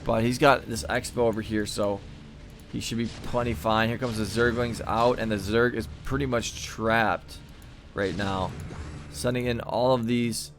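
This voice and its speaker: A man commentating a live strategy game match, talking in a relaxed, meandering way and filling gaps with ums and uhs. His voice sits at an ordinary middle pitch and is starting to go. Faint music plays underneath. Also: a little slow. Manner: easygoing, thinking aloud with fillers. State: losing his voice. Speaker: game caster.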